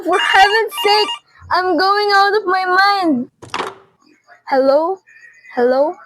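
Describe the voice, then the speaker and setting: A woman's voice: very high-pitched, rough recording. A teenage girl playing a frantic, imperious, nervous housebound wife in an amateur radio drama, indignant and haranguing. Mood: outraged, scolding, then frantic, demanding. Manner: indignant, haranguing, repeats phrases. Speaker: student performer.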